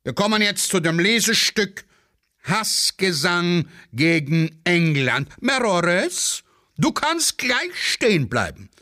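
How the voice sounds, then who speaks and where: A man playing a pompous, scolding schoolteacher who declaims deliberately in a satirical radio play. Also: high-pitched, very animated, bright, forceful tone, exaggerated voice. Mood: stern. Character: pompous, scolding male schoolteacher in wartime Vienna.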